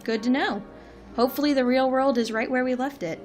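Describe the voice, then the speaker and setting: A woman's voice: high-pitched, fairly quick, a little mellow, soft background music, natural voice. A woman playing the wry director of a secret organisation in a dry comedic audio drama. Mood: wry, understated.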